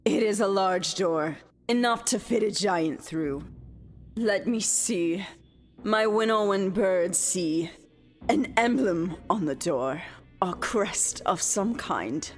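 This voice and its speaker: A dark sorceress queen in a fantasy radio drama. She speaks slowly and formally, with theatrical deference to her lord, over faint music. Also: mid-range voice. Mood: watchful reporting. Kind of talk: fantasy audio drama acting.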